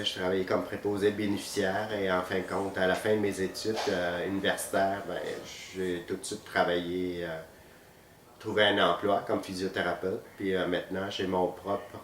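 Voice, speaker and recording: A man in his fifties, a Vietnamese speaker, answering interview questions with many hesitant pauses. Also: low voice. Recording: roomy, distant, faint noise.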